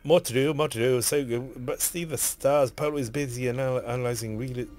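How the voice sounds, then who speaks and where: Husky, coughing man streaming a game. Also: medium pitch, fairly quick, darkish tone, a little hiss or hum. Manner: rambling, muttering, reads in-game text aloud. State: hoarse with a cough. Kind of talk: casual streaming commentary.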